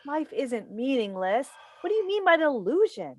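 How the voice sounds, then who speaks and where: Woman acting an exasperated, pleading mother, high animated voice. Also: moderate pace. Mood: earnest, insistent. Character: worried, exasperated mother of a brilliant, troubled son. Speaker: stage actor in a play reading. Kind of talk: dramatic staged play reading. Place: video call, remote staged reading. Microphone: video call microphone.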